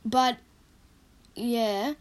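A woman's voice: fairly high pitch, steady tone, extremely slow. Young adult woman, casual vlog chat, hesitant and rambling with frequent fillers. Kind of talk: casual chat about favorite movies.

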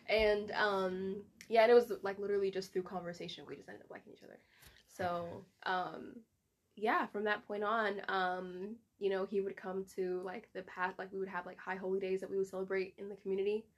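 Woman, young adult, middle pitch, casual chatty guest, fillers. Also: fairly even intonation, normal speed. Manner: casual, lots of "like" and "um". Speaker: podcast guest. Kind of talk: casual interview chat.

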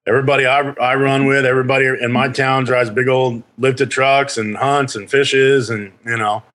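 A man's voice: mid-range voice, little pitch movement, normal speed, a bit bright. A man in his thirties from California, a podcast guest telling stories in a rambling way with many fillers. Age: 30s. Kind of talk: casual storytelling chat.

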